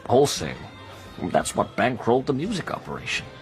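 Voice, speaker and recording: An actor playing a fat, wisecracking, streetwise American in New York, slangy and knowing, in a radio drama. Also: male voice, medium pitch, sing-song, fairly quick, soft background music, close to an everyday voice. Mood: knowing, confiding.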